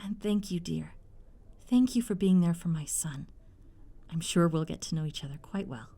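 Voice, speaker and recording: A woman's voice: fairly low pitch, moderate pace, dark tone. A woman playing an overjoyed, doting mother of a grown son in an audio roleplay, gushing and affectionate. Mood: overjoyed, tender.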